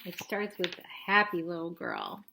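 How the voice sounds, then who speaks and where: A woman reading a picture book aloud to children like a teacher, expressively, pausing for simple explanations and questions. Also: fairly low pitch, steady tone, background noise. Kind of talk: read-aloud storytelling with asides and questions to young listeners.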